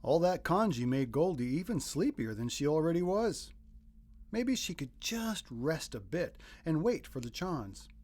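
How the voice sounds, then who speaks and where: A man reading a children's picture book aloud as narrator, in expressive scripted storytelling. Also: fairly high pitch, unhurried.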